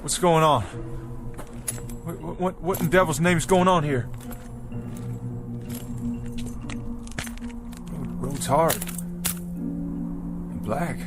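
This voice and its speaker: Tired stubborn old-west wagon leader, stammery folksy talk, old tv show, music under him. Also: male voice, a little high. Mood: bewildered. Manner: halting, repeats words, folksy old-West phrasing. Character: weary, determined male wagon-train pioneer leader, desperate and bewildered. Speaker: actor. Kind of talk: vintage television drama acting. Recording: vintage TV soundtrack with music underneath.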